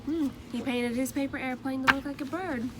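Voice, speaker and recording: A woman reading a children's picture book aloud in a slow, gentle storytelling manner, pausing to ask her listeners questions. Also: high voice, fairly even intonation, heavy background noise.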